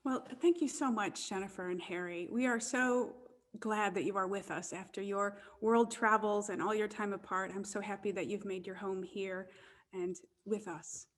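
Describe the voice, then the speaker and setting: A woman minister leads an online church service with a gentle, warm delivery. Her pitch sits in a middle range. The sound is like a video call. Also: steady tone, normal speed.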